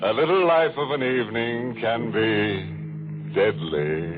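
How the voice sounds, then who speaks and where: A man playing a gleefully morbid horror host on vintage radio, theatrical and sardonic with drawn-out phrasing. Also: medium pitch, lightly acted. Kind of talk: 1940s radio horror hosting. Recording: dull vintage radio recording with music.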